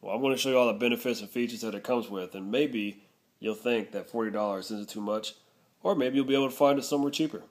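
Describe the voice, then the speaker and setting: A man's voice: mid-range voice, fast. A man narrating a casual product review, explaining things step by step.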